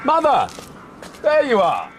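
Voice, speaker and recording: An actor playing an eager, excitable young Frenchman who calls out in a radio drama. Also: very high-pitched, takes it slowly, slight background noise, exaggerated voice, low-quality recording. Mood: excited, calling out.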